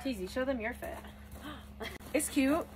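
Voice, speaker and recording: A woman's voice: sits high, measured pace, a little mellow, a little hiss or hum. A woman filming a casual travel vlog on her phone outdoors at a campsite, chatty and awed by the view. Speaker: travel vlogger. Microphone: handheld phone.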